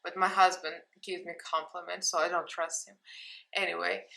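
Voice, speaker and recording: A woman's voice: on the low side, level tone, moderate pace, gravelly, pushed and bright, low-quality recording. A woman with a Russian accent, a makeup vlogger talking through a tutorial in a casual, candid, self-mocking way.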